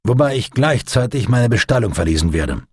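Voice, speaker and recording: A man's voice: mid-range voice, sing-song, fairly quick, a little mellow, slightly rough, dry recording. A man voicing a commanding, sardonic navy captain in an audiobook, with an authoritative manner. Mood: formal, commanding.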